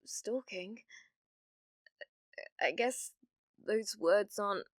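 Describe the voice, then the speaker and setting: A British woman playing an obsessive, flustered young witch in an ASMR roleplay, stammering shyly with a breathy voice that often sinks toward a whisper. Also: medium pitch, drawn-out words, dry recording, clean studio sound. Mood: defensive, pleading. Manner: hesitant, stammering, nervous giggling. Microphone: close microphone, dry sound.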